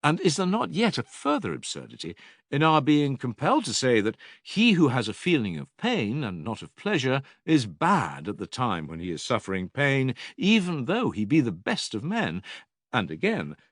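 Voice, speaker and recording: An actor playing an older philosopher in an audiobook dialogue, a patient, probing teacher with measured, rhetorical and emphatic delivery. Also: male voice, mid-range voice, fairly bright tone, no room sound, clean studio sound. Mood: earnest questioning.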